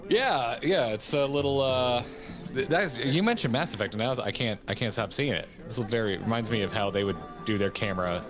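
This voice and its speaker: A man's voice: mid-range voice, expressive, a little fast. A man giving casual, joking reaction commentary as a streamer watching game cutscenes. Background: game cutscene music.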